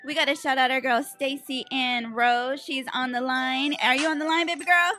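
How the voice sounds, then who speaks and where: A radio host woman with a somewhat raised pitch keeps things upbeat and slangy. She introduces and questions her guest casually. Clear music runs under her voice. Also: steady tone, moderate pace. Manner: upbeat, slangy shout-outs. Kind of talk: hip hop radio interview.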